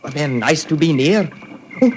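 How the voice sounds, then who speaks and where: A man's voice: on the high side, lively intonation, normal speed, lightly acted. An actor playing a simple-minded, childlike village man in a 1940s radio drama, timid and wandering in speech, dreamy about simple things. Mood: wistful. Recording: noisy 1940s radio broadcast recording.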